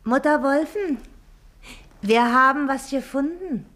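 An actress playing a chatty, wheedling, falsely friendly wife in a German radio play. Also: female voice, high-pitched, takes it slowly, fairly bright tone. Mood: insinuating.

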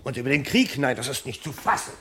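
Bitter, animated rebellious fireman. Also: medium pitch, fast. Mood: agitated, defiant. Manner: sarcastic, exasperated, emphatic. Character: bitter, agitated fireman rebelling against shallow company. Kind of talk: 1970 German radio drama acting. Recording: old radio drama, faint noise.

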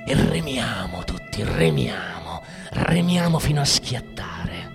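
A man's voice: on the low side, sing-song, slow, vocal fry, full character voice. An actor playing a bitter, cynical everyman in a declamatory theatrical monologue with music. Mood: angry, bitter. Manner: declamatory, swinging from muttering to shouting. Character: bitter, ranting, cynical everyman raging at the bosses. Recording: long reverberant hall, music behind.

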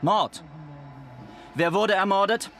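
An actor playing a hot-tempered, protective eighteen-year-old son in a radio crime drama, defiant and combative. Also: male voice, sits high, slow, a bit bright. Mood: defiant, angry.

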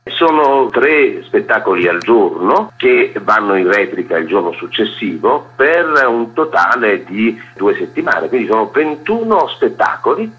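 A man with a husky, rough-edged voice, explaining things as a festival director interviewed on the radio. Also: on the low side, sing-song, measured pace, hardly any pauses. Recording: dull lo-fi radio sound, music underneath. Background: music.

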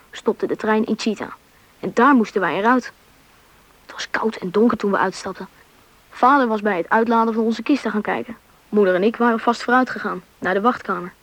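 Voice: mid-range voice, fairly bright tone, dry recording, natural voice. An actor playing a young boy who narrates his family's journey in an informal, matter-of-fact way for a radio drama. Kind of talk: scripted first-person narration, storytelling.

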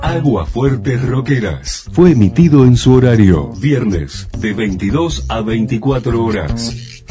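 A man's voice: medium pitch, lively intonation, slow. A man reading a scripted radio segment announcement. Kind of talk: scripted radio jingle narration. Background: music.

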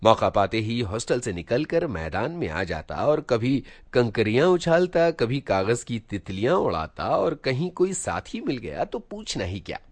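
A man reading a literary short story aloud as an expressive narrator. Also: mid-range voice, a little slow, few pauses, no room sound. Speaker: narrator, audiobook reader.

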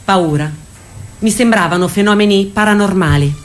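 An actress plays a wry, squeamish woman sharing memories on stage for radio. The voice is of medium pitch and theatrically delivered. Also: moderate pace, close to an everyday voice. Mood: vivid recounting. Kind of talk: theatrical radio drama dialogue.

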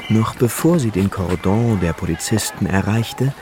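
A man's voice: lowish voice, expressive, moderate pace, music in the background. A man giving dramatic scripted narration for a radio play.

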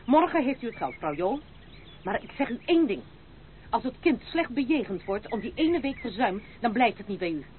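A woman's voice: a little high, sing-song, normal speed. An actress playing a proud, independent young noblewoman in a radio play, speaking formally, firmly and with dignity. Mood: angry, commanding. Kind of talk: old radio play acting. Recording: tinny, band-limited, hissy old radio recording.

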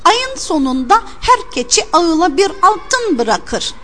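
A woman's voice: very high voice, very animated, takes it slowly, fairly bright tone, faint music behind, voice pushed up, heavily acted. A woman voicing a cheeky, crafty young shepherd lad in a storybook, with bold, declaiming delivery. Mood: crafty, boastful. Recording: long hall-like reverb.

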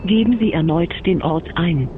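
A man voicing an impassive car navigation machine in a radio drama, giving formal, politely commanding prompts with a husky voice. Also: on the high side, moderate pace, noisy background, close to an everyday voice. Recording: dry, dull band-limited device sound.

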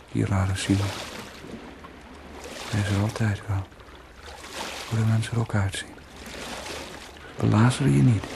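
A man's voice: fairly low pitch, vocal fry. An actor in a radio play monologue, playing a brooding, wry man who muses to himself in short, bitterly ironic fragments. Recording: noisy radio play recording.

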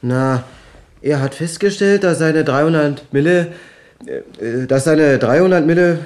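A man's voice: on the high side, measured pace, darkish tone, close to an everyday voice. An actor playing a nervous, apologetic gangster henchman who stammers and repeats himself, in a satirical German radio crime drama. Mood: hesitant, stammering. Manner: stammering, hesitant, repeats his phrases. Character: nervous, apologetic male gangster henchman bearing bad news.

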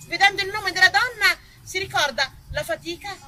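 Lively woman telling a stage story. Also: very high voice, normal speed. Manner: lively, ironic, conversational with the audience. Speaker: actress and author performing a monologue. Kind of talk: theatrical storytelling monologue.

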